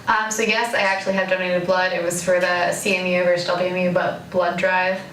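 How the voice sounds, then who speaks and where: A woman's voice: fairly low pitch, flat delivery, a little fast, fairly bright tone. A young woman, a student, giving a casual interview account. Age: young adult. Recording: echoey, distant, faint noise.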